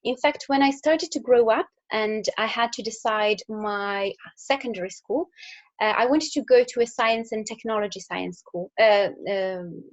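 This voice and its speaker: A woman's voice: on the high side, a little slow, dull audio. A young woman from Italy with an Italian accent, talking casually to school pupils, with fillers and restarts. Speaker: engineer. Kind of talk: recorded talk to school pupils.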